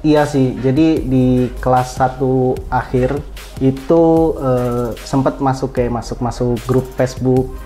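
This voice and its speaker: A man's voice: mid-range voice, flat delivery, slow, dark tone. A young man answering casual interview questions modestly, in short plain replies. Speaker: interview guest, cybersecurity freelancer. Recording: faint music behind. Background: faint background music.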